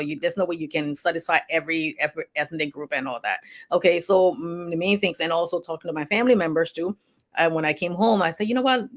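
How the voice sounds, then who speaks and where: A woman in her forties with a Liberian accent, a healthcare provider chatting casually to her page's followers, rambling and repeating herself. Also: deep voice. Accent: Liberian English. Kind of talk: casual anniversary livestream talk.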